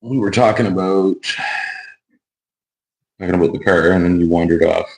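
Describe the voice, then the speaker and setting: Hoarse, very deep-voiced man. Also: normal speed, darkish tone, a little hiss or hum, rough recording. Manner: easygoing, rambling with restarts. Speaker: YouTuber and car builder. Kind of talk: casual vlog chat. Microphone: wireless clip-on mic.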